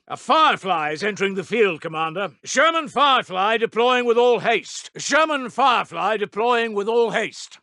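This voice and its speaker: A man's voice: high-pitched, sharp tone, no room sound, clean studio sound. A man playing a stern, zealous wartime intelligence officer in a strategy game, giving formal, clipped, martial announcements. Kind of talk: scripted game unit announcements.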